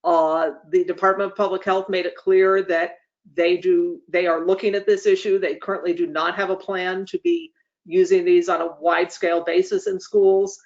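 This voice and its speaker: A speaker hosting an online meeting, giving semi-formal updates in measured, formal wording with frequent hesitant pauses. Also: medium pitch, steady tone. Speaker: association leader hosting a meeting. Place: online webinar call. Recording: dull, lo-fi online meeting audio.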